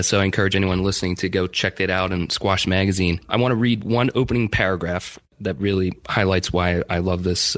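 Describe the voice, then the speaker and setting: A man's voice: low voice, a bit bright, dry recording. Enthusiastic male host, dry close sound. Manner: enthusiastic, rambling questions with restarts. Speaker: podcast host. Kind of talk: interview host.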